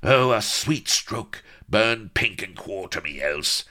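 A man voicing a loud, boisterous bearded pirate who bawls his lines. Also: on the low side, animated, slow, pitched above the performer's own voice, exaggerated voice. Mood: rowdy. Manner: bawling, roaring.

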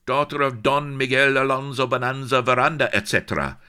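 A man voicing a haughty, hot-tempered teenage Spanish noblewoman with a put-on Spanish accent, shrill and theatrical. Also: brisk, sharp tone, smooth, natural voice.

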